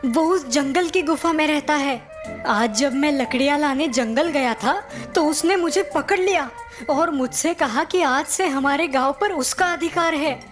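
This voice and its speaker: A woman voicing a clever young village man in a cartoon, a calm trickster who talks politely and persuasively. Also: sits high, unhurried, faint music behind. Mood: earnest, warning. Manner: polite, persuasive, mock-respectful. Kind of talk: Hindi cartoon story acting.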